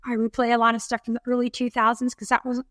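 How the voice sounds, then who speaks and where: A woman's voice: on the high side, monotone, fast, fairly bright tone, no room sound, clean studio sound. A woman in her fifties co-hosting a podcast, chatting casually and encouraging listeners. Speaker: podcast co-host. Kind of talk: casual chat.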